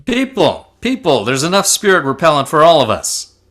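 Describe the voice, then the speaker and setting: Eager, kindly ghost, read by a man, lively swooping delivery, sitcom script. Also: fairly high pitch, normal speed. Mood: exasperated. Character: cheerful, well-meaning, eager ghost.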